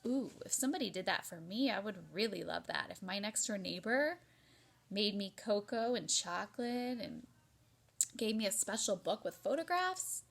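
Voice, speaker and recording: A woman's voice: mid-range voice, expressive, normal speed, gravelly. A woman teacher giving an interactive read-aloud for children, explaining expressively and prompting listeners as she goes.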